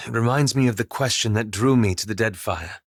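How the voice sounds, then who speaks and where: A man playing a reserved, scholarly, self-doubting young elf adventurer in a video game, speaking in a formal, measured, wryly understated way. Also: on the low side, no echo, studio quality. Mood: reserved, thoughtful. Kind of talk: video game companion dialogue.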